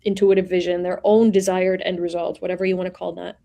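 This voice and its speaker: A woman in her forties from Venezuela, talking as an interview guest in a reflective way, pausing and searching for words as she explains. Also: on the low side, flat delivery, creaky, dark tone. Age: 40s. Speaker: guest, executive coach. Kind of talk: conversational interview.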